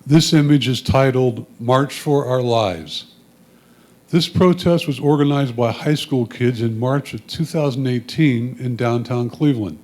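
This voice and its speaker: A man's voice: mid-range voice, unhurried, a little mellow. A man with a mid-range voice reads out descriptions of photographs. His delivery is plain and matter-of-fact, like someone presenting captions to a club. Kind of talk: read-aloud photo descriptions.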